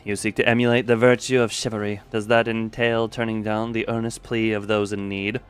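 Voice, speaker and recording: A man voicing a composed, scholarly male researcher from a video game, measured, formal and pedantic. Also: on the low side, level tone, voice pushed down, lightly acted. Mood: cool, measured. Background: music.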